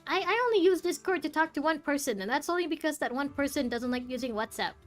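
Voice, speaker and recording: A chatty Malaysian woman streaming a game, rambling easily and laughing often. Her voice sits a little high, with soft game music under it. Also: expressive, quick pace. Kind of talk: casual gameplay commentary and reading dialogue aloud.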